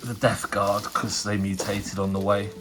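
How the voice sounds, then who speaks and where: A man's voice: on the low side, completely flat delivery, a little slow, noisy background. Stuffy-nosed man chatting casually. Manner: chatty, rambling, frequent fillers and restarts. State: nasal from a cold. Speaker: tabletop wargaming channel host. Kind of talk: casual unboxing commentary.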